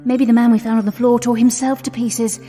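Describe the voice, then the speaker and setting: A woman's voice: a little high, level tone, a little fast, mellow, close to an everyday voice. An actress playing a frightened but resolute young Englishwoman in a 1920s period radio drama, speaking urgently in an English accent. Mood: desperate. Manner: urgent, anxious. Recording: long reverberant space, music underneath. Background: music.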